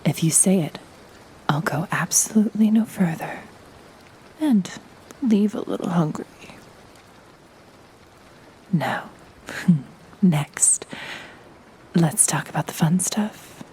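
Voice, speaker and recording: A woman plays a sultry succubus in a flirty audio drama, with a low, dark-toned voice that lingers over each teasing phrase. She is playful yet businesslike, slipping into a breathy half-whisper over faint rain-like noise. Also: expressive, takes it slowly, pauses often, low-quality recording. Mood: sultry, reassuring.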